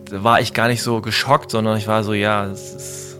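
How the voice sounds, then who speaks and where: A man's voice: fairly low pitch, level tone, a little fast, over music. A man in his mid-forties chatting casually, reflective and often hesitant as he searches for words. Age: mid-40s. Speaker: podcast discussion partner. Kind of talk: casual conversation.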